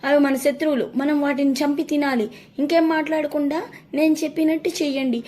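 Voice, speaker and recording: A woman's voice: high-pitched, fairly even intonation, brisk, a little mellow, voice pushed up, close to an everyday voice. A woman voicing a stern, threatening mother hawk in a children's story, commanding and insistent. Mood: menacing, commanding. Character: stern, threatening mother hawk ordering her chicks to kill.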